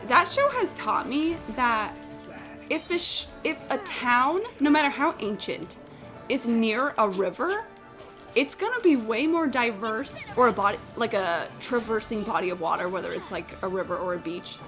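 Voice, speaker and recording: High-voiced, blunt woman streaming a game. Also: expressive, moderate pace, music in the background. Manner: blunt, sweary, incredulous rambling. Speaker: game livestreamer. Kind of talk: casual opinionated chat while gaming.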